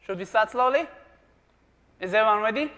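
A man's voice: high-pitched, animated, fairly quick, bright, forceful tone. A man from Germany giving a jokey conference presentation, quizzing the live audience with questions. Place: large conference room. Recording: long reverb of a large hall.

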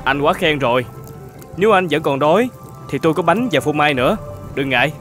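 An actor playing a kind, humble older shepherd in an animated folk tale, speaking politely and gently. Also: male voice, on the high side, a little fast, soft background music. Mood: kind, gentle. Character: kind, humble older shepherd, hospitable, fearful under threat.

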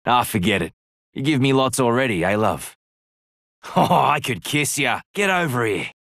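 An actor playing a cocky, flirtatious pirate adventurer in a video game, with an Australian accent and a playful, teasing drawl. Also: male voice, mid-range voice, very animated, moderate pace, a bit bright, no room sound, clean studio sound. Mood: flirty, playful. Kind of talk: video game character voice lines.

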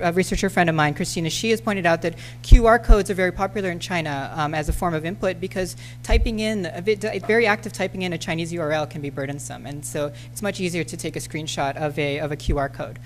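Low-voiced woman, halting panel talk. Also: a little hiss or hum. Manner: hesitant, many ums and restarts. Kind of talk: conference talk with slides. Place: lecture hall. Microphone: podium microphone.

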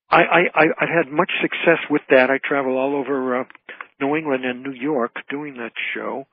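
Husky man on a phone line. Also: medium pitch. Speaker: interview guest, entertainer and author. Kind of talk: conversational radio interview. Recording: tinny, dry phone line.

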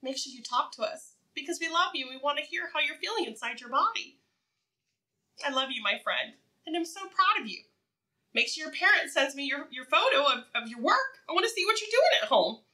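High, animated woman preschool teacher. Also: recorded from a distance. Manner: animated, encouraging, asks questions and pauses for answers; simple repetitive child-directed phrasing. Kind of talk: read-aloud lesson for young children.

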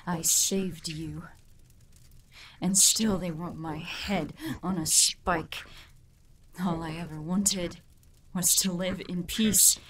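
A woman playing a weary, protective demon hunter in an audio drama, gentle yet resolute, with a measured, solemn delivery. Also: fairly low pitch, noisy background.

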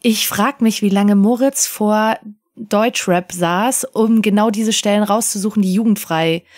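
A woman's voice: medium pitch, measured pace, darkish tone. A woman chatting casually as a panelist on a group podcast.

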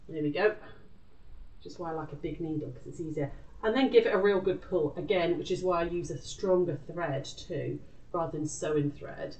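A woman's voice: lowish voice, darkish tone, dull audio. A British woman in her forties giving a casual live craft demonstration, chatty and informal, sometimes muttering to herself as she works. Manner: chatty, informal, talks to self while working. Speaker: craft tutor and live show host. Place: indoor craft room. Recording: echoey room, distant microphone.

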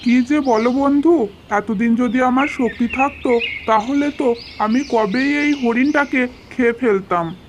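A woman's voice: a little high, unhurried, lightly acted. A woman voicing a foolish, friendly old tiger, hungry, in a children's cartoon story. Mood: resigned. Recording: clearly noisy, birdsong behind. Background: birdsong and nature sounds.

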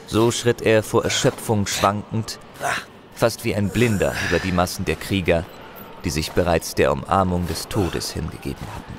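A man narrating a fantasy audio drama in a solemn, measured, expressive storytelling manner. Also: low-pitched, noisy background. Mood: solemn, awed. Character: solemn male narrator of a barbarian saga.

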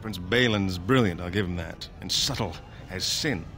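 A wry, self-mocking dwarf lord. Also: male voice, low voice, moderate pace, a bit bright, slight background noise. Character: sardonic rebellious male dwarf noble. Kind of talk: video game dialogue.

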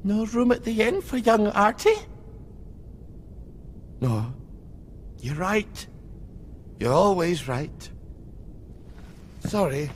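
An actor playing an eccentric, shifty older British man in a video game, gruff and colloquial as he swings between cajoling and muttering. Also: high-pitched, sing-song, very slow, long pauses, a little hiss or hum, heavily acted. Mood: flustered, muttering. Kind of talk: video game cutscene acting.

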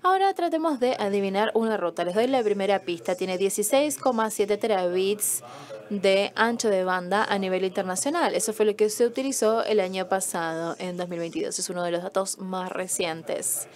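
A woman's voice: mid-range voice, normal speed, darkish tone. A woman interpreting a conference talk as it is given, explaining step by step and quizzing the audience.

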